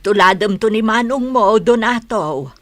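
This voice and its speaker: A woman's voice: mid-range voice, animated, a little slow, a bit bright, no room sound. A woman acting a practical, anxious middle-aged mother of grown children in an Ilocano radio drama. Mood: pleased.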